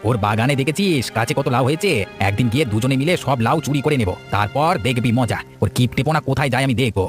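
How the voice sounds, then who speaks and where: Man voicing a very fast-talking scheming village man. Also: mid-range voice, animated, faint music behind, natural voice. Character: scheming, grudging village man, scornful of neighbour. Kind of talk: Bengali village cartoon voice acting.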